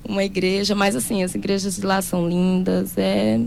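A woman from Brazil giving a soft-spoken, halting and heartfelt personal testimony. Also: medium pitch, level tone, vocal fry, slight background noise.

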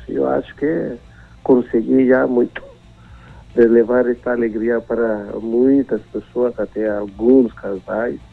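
A man. He talks slowly and haltingly, his voice gravelly with fry. He comes through a narrow, tinny phone line. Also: medium pitch. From Timor-Leste. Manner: halting, searching for words, chuckling. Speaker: priest and phone-in guest. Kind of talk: phone interview.

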